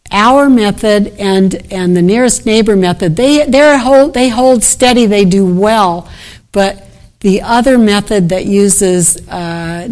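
A woman's voice: medium pitch, darkish tone. A middle-aged woman giving an unscripted academic keynote, thinking aloud over her slides and often restarting sentences. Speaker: keynote speaker. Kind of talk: academic conference talk.

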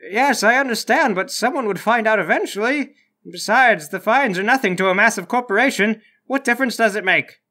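A man's voice: high voice, a little fast, sharp tone, voice pushed up. A man voicing an exasperated, callous scientist in a tabletop game, theatrical and given to whiny complaint. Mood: dismissive. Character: exasperated, callous mad scientist doctor, male.

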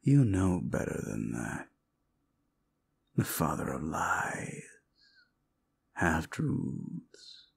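A man playing a condescending, sardonic ancient demon in an audio roleplay, gravelly, with theatrical, mocking delivery. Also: low voice, huge pitch swings, extremely slow, pauses often, heavily acted. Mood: menacing, teasing. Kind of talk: audio roleplay monologue addressed to the listener.

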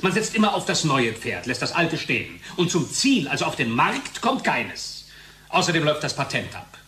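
Smooth calculating corporate colleague in a radio play, very lively pitch, slight noise behind. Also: male voice, moderate pace. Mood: insistent. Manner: cajoling, conversational. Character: pragmatic, persuasive male pharmaceutical company colleague, smoothly calculating. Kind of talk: German radio drama acting.

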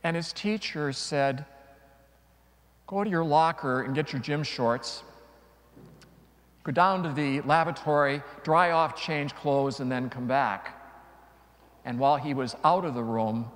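Slow-speaking priest in a reverberant church. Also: male voice, mid-range voice, a bit bright. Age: middle-aged. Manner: deliberate, dramatic pauses. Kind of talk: homily with dramatic storytelling. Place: large church, before a congregation.

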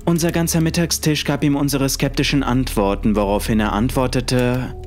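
A man's voice: medium pitch, steady tone, normal speed, a little mellow. A man narrating a scripted first-person horror story. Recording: music under the voice. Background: music.

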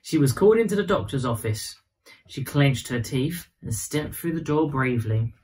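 Animated man reading a children's story. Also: medium pitch, moderate pace. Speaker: storybook reader.

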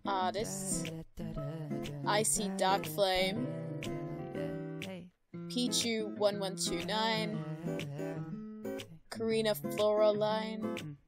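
A woman giving casual reaction commentary as she watches a cartoon. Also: mid-range voice. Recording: music playing behind the voice. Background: background music and cartoon audio.

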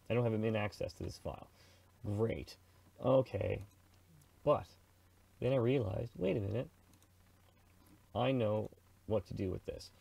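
Casual, gravelly middle-aged man explaining software. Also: fairly low pitch, no echo. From Canada. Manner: chatty, informal, slangy, narrates own thoughts. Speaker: presenter of a radio software tutorial. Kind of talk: casual screen-recorded tutorial.

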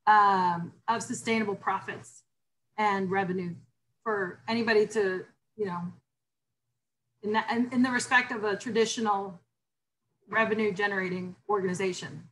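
A woman instructor with a low voice leading an online class discussion, emphatic and animated when talking theory. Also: normal speed, pauses often, a little hiss or hum, low-quality recording.

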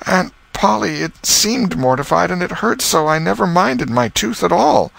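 Man putting on a high, whiny young boy. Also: animated, gravelly, fairly bright tone, no room sound, exaggerated voice. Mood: sheepish. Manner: drawn-out pleading, rustic folksy grammar.

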